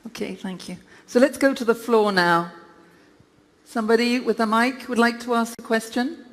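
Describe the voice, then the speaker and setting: A woman moderating a live Q&A, briskly passing the floor and reading out submitted questions. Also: a little high, steady tone, slight background noise. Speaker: event moderator. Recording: long reverb of a large hall.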